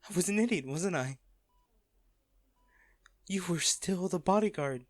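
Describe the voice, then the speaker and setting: A man acting a hardened lover pleading at a comatose partner's bedside in a romantic audio roleplay, halting, intimate and earnest. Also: high-pitched, animated, no echo, clean studio sound. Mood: bitter, anguished.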